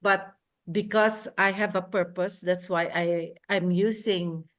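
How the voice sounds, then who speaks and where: Slow-speaking woman with a Filipino accent. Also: fairly low pitch, fairly even intonation. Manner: earnest, halting, with restarts. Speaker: panel participant.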